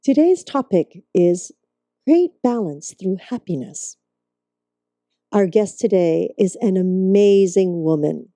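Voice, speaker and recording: A woman hosts a wellness interview program. Her voice is deeply mellow and warm-toned, and the sound is dry, with no room echo. She is gracious and laughs readily with her guest. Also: expressive, slow pace. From Hong Kong. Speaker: host. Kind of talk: talk show interview.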